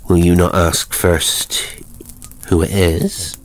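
A man's voice: deep voice, level tone, takes it slowly. A man voicing a grim cottage woman with a thin, pinched voice in a horror story. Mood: guarded. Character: grim, foreboding cottage woman, rumoured witch.

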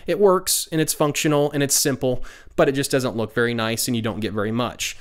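A man in his thirties, a tech reviewer, giving a steady explanatory product review voice-over with frequent "uh" fillers, close to the mic. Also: medium pitch, fairly quick.

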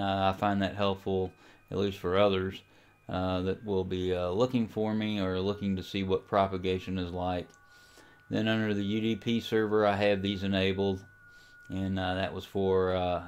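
A man with a fairly low, clean and resonant voice talks through a software tutorial. He explains each step in a casual, informal way, as the host of a hobby how-to. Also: smooth. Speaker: amateur radio tutorial host.

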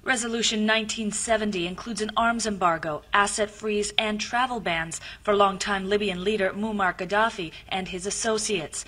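A woman reading a news item in a crisp, even way. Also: medium pitch, moderate pace, hardly any pauses. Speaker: news anchor.

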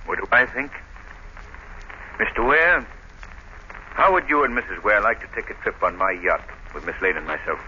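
Polished gentleman sleuth, very lively pitch. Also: normal speed, long pauses. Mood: curious. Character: cool, inquisitive wealthy gentleman sleuth. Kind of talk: 1930s radio acting. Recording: dull, noisy 1930s radio recording.

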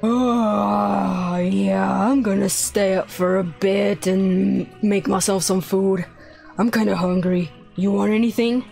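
A woman's voice: mid-range voice, fairly even intonation, takes it slowly, creaky, a little mellow. A woman voicing a laid-back, affectionate young male villain boyfriend in a game roleplay. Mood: casual, affectionate. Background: music.

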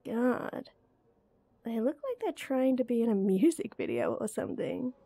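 A woman acting as a young female best friend in a roleplay audio, with a high, mellow voice. She is playful, teasing and coaxing, and takes her time very slowly in a close, dry recording. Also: dry recording, heavily acted. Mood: curious, playful. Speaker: voice actor. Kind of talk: acted roleplay audio addressed to the listener.

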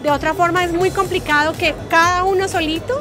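A woman's voice: very high-pitched, steady tone, a little fast. A Colombian woman in her forties answering an interview casually, in an emphatic and encouraging way. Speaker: coffee business owner interviewed. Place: coffee trade fair. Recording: music and crowd behind voice. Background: music and crowd.

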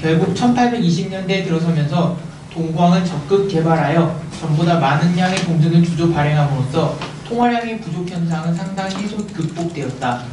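A man reading a passage aloud in a classroom at a steady pace, stumbling over a word now and then. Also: on the high side, fairly quick. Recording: very echoey room, far off, with hiss.

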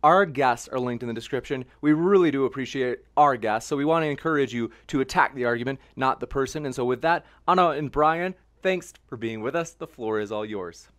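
A man's voice: medium pitch, a little fast, hardly any pauses, a bit bright. A man moderating an online debate, making upbeat welcoming announcements into a studio mic. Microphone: studio mic on arm.

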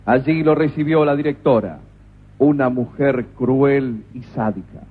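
A man's voice: mid-range voice, unhurried, breathy edge. A man narrating a comic radio play with a dramatic storyteller delivery. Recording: tinny lo-fi radio recording.

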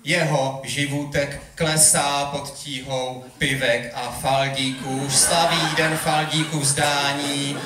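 A man's voice: a little high, little pitch movement, few pauses, pushed and bright. A young man performing slam poetry live on a club stage, reciting in a rhythmic, theatrical way. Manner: rhythmic, wordplay-driven, theatrical delivery. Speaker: slam poet competing on stage. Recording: live club hall echo, audience noise.

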